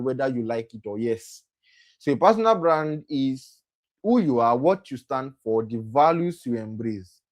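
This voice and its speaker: A young man hosting a motivational session for young people. He often repeats himself, corrects himself as he goes, and keeps checking in with "right?". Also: mid-range voice. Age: young adult. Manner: repetitive, self-correcting, often asks "right?". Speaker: program host and speaker.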